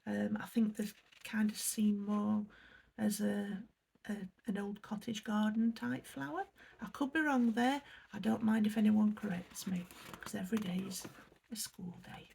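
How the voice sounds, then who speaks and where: English woman, unboxing vlog, very creaky gravelly voice, middle pitch, chatty and apologetic. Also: steady tone, a little slow, darkish tone. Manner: chatty, apologetic, reads product blurbs aloud. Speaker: unboxing vlogger. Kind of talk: casual chat while unboxing.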